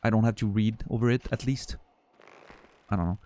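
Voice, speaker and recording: A man's voice: fairly low pitch, a little fast, warm tone. A man from Switzerland with a Swiss French accent, a native French speaker, chatting casually with his stream viewers in a rambling, profane way full of restarts.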